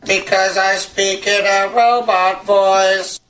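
A man playing a robot on a talk show, speaking in a flat, drawn-out machine manner. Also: high-pitched, voice pushed up. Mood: robotic. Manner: monotone, drawn-out delivery.

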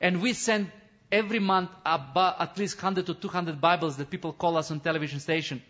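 High bright-voiced preaching man. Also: steady tone, a little fast. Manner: fervent, builds to shouting, with non-native phrasing and restarts. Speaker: missionary pastor. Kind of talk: impassioned sermon testimony. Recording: dull lo-fi recording.

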